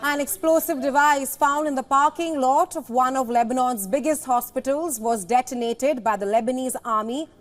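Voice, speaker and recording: A woman with a notably high voice works as a news presenter. She reads scripted reports in the formal style of a news bulletin. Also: normal speed, few pauses.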